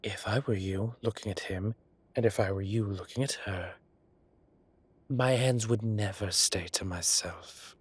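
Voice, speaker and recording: A man's voice: lowish voice, fairly even intonation, a little slow, husky, no room sound. A man playing a flamboyant, mischievous male boss in a roleplay audio drama, with an arch, playful, theatrical way of talking. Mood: incredulous, flirty.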